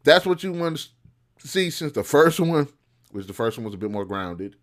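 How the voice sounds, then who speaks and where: A man's voice: a little high, sing-song, normal speed. A man hosting a film review show, giving casual, opinionated commentary in an informal, slangy, blunt way.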